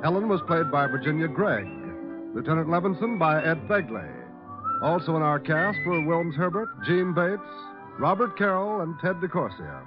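Formal American male announcer, polished 1940s delivery, expressive intonation, dull vintage sound with music. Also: a little high, normal speed. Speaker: radio announcer. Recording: muffled vintage radio recording with music.

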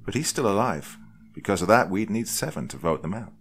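A man's voice: fairly low pitch, expressive, a little fast, slight background noise. A man playing a blunt, impatient, calculating woman who explains matter-of-factly in a story.

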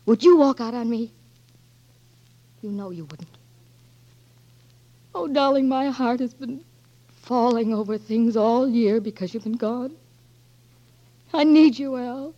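High-pitched loving wife, earnest and pleading, 1940s radio play, dull broadcast audio. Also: female voice, a little mellow. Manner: pleading, tender, insistent. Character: devoted young wife pleading with her blinded Marine husband.

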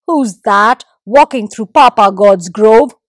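A woman voicing a boastful male lizard who bosses others from his post as well guard, loud and commanding. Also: fairly high pitch, expressive, normal speed, no echo. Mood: bossy.

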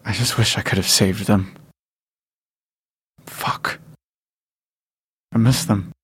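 A man's voice: fairly low pitch, fairly even intonation, slow pace, a bit bright, husky, in a whisper, exaggerated voice. An actor playing a protective, grieving father surviving a post-apocalypse in an audio drama, with a hesitant, understated way of talking. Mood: grieving, tender.

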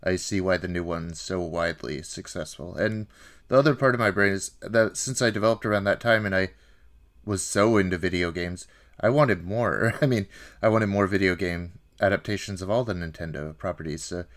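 A man in his thirties with a very low-pitched voice. He talks casually as a podcast co-host, feeling his way through thoughts in a halting, wry manner. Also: fairly bright tone. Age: 30s. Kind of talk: casual film discussion.

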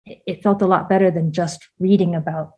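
A woman's voice: fairly low pitch, fairly even intonation, brisk, husky, muffled recording. A woman from the United States, born in Vietnam, speaking as an interview guest in a casual, reflective and candid way, with plenty of "like" in her sentences. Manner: candid, informal, peppered with "like".

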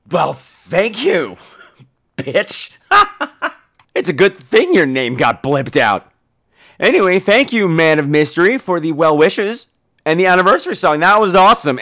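A man's voice: on the high side, a bit bright. A man hosting a podcast, talking casually with a sarcastic, profane and theatrical manner. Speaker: podcast host. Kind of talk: casual podcast chat reacting to listener voicemails.

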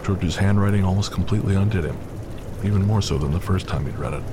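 A man narrating an audio drama in a measured, somber way. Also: low voice, noisy background. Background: music.

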